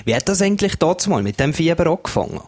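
A man with a Swiss German accent hosting a radio show and interviewing a guest, often tagging questions with a quick "hä?". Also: a little high, sing-song, husky. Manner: friendly, ends questions with "hä?". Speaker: radio host.

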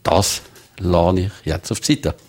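A deep-voiced man in his sixties speaks with a Swiss German Valais accent, a guest in a radio studio. He hunts for words, with many fillers and restarts. His voice sits very low. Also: fairly even intonation, unhurried. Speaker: guest, early retiree. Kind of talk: studio interview.

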